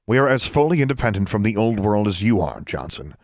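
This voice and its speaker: A man voicing a stout older wizard leader who speaks calmly and condescendingly. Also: fairly low pitch, fairly quick, no echo. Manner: calm, dry, condescending. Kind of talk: audiobook character voice.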